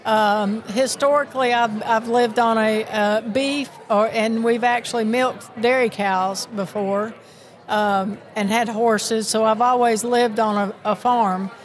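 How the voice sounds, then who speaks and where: A woman's voice: fairly high pitch, steady tone, slow. A woman in her sixties from Miami with a Southern accent, chatting earnestly and with many restarts in a live interview at a busy convention. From Miami, Florida. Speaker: sheep producers association president.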